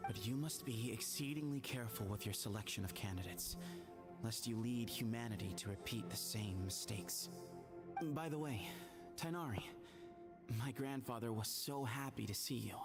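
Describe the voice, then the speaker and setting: An actor playing a courteous, dignified young heir to a desert temple in a video game, speaking articulately. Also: male voice, medium pitch, normal speed, close to an everyday voice. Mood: friendly, storytelling. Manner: articulate, polite storytelling. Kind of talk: scripted video game dialogue. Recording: loud game music behind. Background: game soundtrack music.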